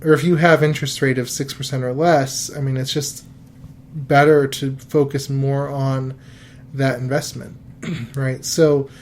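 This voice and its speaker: A man in his early thirties hosts a casual money podcast at a middle pitch. He talks in a loose, rambling way and keeps restarting his sentences. A faint hiss sits behind his voice. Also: unhurried. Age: 30s. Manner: relaxed, rambling, with frequent fillers and restarts. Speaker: podcast host giving financial advice.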